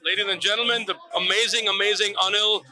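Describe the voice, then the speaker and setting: Brief: a man as gushing talk show host, high and very bright, music under him. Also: steady tone, fast, low-quality recording. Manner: effusive, hypes guests, repeats praise. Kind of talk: upbeat event interviews.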